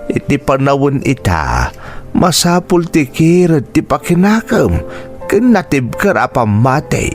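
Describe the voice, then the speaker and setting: A man's voice: fairly high pitch, very animated, measured pace. A man acting in a radio drama as a poor, weary and loving father and husband, speaking earnestly with an Ilocano accent. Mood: earnest, encouraging. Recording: music bed behind the voice.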